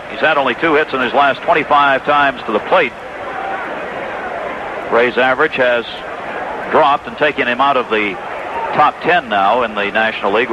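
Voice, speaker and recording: An American man calling baseball play-by-play live on 1980s radio. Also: mid-range voice. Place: stadium broadcast booth. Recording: noisy, dull vintage AM radio broadcast.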